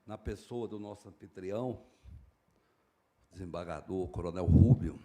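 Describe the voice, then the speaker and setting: A man giving a formal keynote speech at a conference, measured and building to emphatic stresses. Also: low-pitched, vocal fry, a little mellow. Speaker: keynote speaker.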